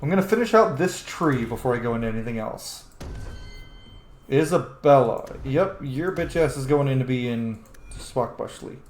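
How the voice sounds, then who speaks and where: A man's voice: mid-range voice, expressive, normal speed, soft background music. A man giving casual, opinionated commentary while playing a video game, profane, emphatic and argumentative. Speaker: gaming video host. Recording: roomy, echoing home microphone.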